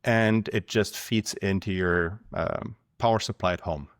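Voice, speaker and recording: A man from Germany with a German accent, explaining things in a measured way as a podcast guest. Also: deep voice, no room sound, clean studio sound. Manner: measured, explanatory, numbers-driven. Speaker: guest, company founder. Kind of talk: podcast interview.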